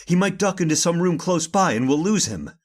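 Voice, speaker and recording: Man playing stern master of the house search, highly animated delivery, impatient, formal, old-time radio horror. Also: a little fast, a little hiss or hum, natural voice. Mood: determined. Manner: commanding, impatient, old-fashioned formal phrasing. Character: Mr. Winton, stern, stubborn master leading a haunted-house search.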